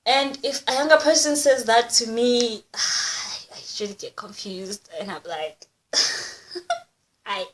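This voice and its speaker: A woman's voice: on the high side, takes it slowly, a little hiss or hum. Chatty young Kenyan woman vlogging. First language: Kikuyu. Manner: chatty, self-conscious, upbeat. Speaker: YouTuber. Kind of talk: casual vlog, teaching phrases.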